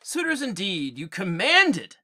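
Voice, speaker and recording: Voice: mid-range voice, wildly swooping pitch, unhurried, sharp tone, voice pushed up, full character voice. A teacher reading aloud as a proud, grieving queen addressing her suitors, in a declamatory way. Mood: defiant.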